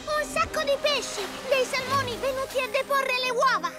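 An actor voicing an anxious, alert small young forest animal, squeaky and exclamatory, in a children's cartoon. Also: normal speed, reverberant, recorded from a distance, over loud music. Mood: alarmed.

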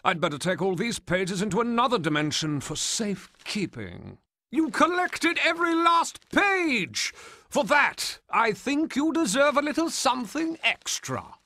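Game dolphin guardian: composed, benevolent, slow and creaky, grandly theatrical. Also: male voice, very high-pitched, big pitch swings, bright, forceful tone, dry recording, studio quality, full character voice. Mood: grand, kindly. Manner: theatrical, deliberate delivery. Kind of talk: video game cutscene voice acting.

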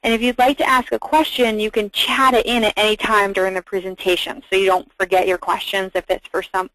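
A woman's voice: medium pitch, fairly bright tone, no echo, rough recording. A woman moderating a webinar, reading housekeeping notes and speaker introductions in a careful, scripted way with small restarts.

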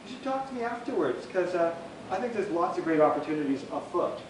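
A man's voice: high-pitched, lively intonation, quick pace, a little hiss or hum. A man hosting an event, introducing the speaker in a playful, joking way, recorded from a camera across a dim meeting room. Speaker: event organizer and host. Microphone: far camera. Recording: distant, very echoey room recording.

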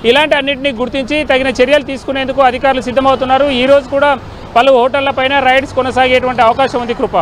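A middle-aged man giving a halting live news report into a handheld microphone outdoors. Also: very high voice, flat delivery, fairly bright tone. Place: busy city roadside, outdoors. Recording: faint outdoor street noise. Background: faint traffic.

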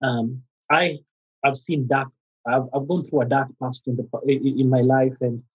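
A middle-aged man with a Kenyan accent, a therapist speaking as a podcast guest, pausing often with fillers and restarts. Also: medium pitch, steady tone, darkish tone, slightly rough, highs cut off. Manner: halting, many fillers and restarts. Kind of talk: conversational interview.

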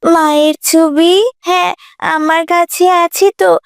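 A woman's voice: very high voice, flat delivery, slow, vocal fry, no room sound, clean studio sound, voice pushed up, full character voice. A woman voicing an innocent, devout little girl with an earnest, plaintive manner in a moral cartoon. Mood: meek, earnest. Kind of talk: Islamic moral cartoon acting.

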